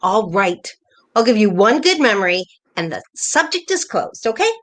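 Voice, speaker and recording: A woman's voice: mid-range voice, very animated, normal speed, fairly bright tone. A woman playing a playful, bossy older wife reminiscing fondly with a spouse in a staged play reading. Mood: teasing. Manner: teasing, nostalgic, conversational.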